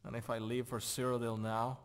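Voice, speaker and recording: A disillusioned lord from a fantasy game, acted by a man. His voice sits at a middling pitch, and he speaks in a dour, brooding way, as someone forever questioning his own purpose. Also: little pitch movement, fairly quick, lightly acted. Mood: weary, uncertain. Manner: gloomy, brooding, self-questioning. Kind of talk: video game character dialogue.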